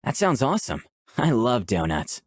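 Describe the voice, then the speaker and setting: Man as gentle young artist, animated pitch. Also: moderate pace, breathy edge, no room sound, studio quality. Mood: interested. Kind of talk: visual novel dialogue and first-person narration.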